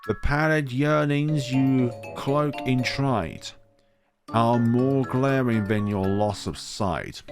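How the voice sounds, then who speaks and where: A man's voice: mid-range voice, takes it slowly, over music. A man voicing a cheeky, sprightly talking flower from a visual novel, lilting melodically through taunting rhymes. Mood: mocking. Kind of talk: visual novel character read aloud.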